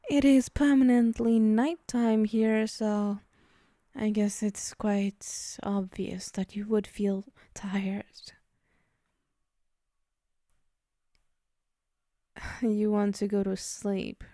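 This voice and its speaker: A woman voicing a possessive demon mistress for an ASMR roleplay, dark and mellow in tone. She speaks slowly and haughtily, teasing with soft menace, in a very dry, intimate recording. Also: mid-range voice, steady tone, no echo. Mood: possessive, coaxing. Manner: slow, teasing, condescending, murmuring into whispers.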